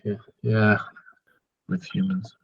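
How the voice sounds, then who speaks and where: A man's voice: lowish voice, little pitch movement, slow pace, gravelly, husky, no echo. A man improvising in-character banter as a player in a tabletop game, heard over a lo-fi internet call. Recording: dull, lo-fi internet call audio.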